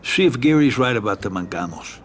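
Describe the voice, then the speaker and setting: An actor playing a calm, dry, calculating mafia consigliere in a video game cutscene. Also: male voice, lowish voice, brisk, a little hiss or hum, lightly acted.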